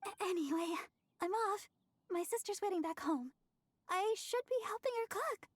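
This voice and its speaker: Sweet young deaconess, very high girlish pitch, lively intonation, shy, polite, fantasy game. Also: moderate pace, no room sound. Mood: hurried, friendly. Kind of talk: fantasy video game voice acting. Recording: music behind the voice.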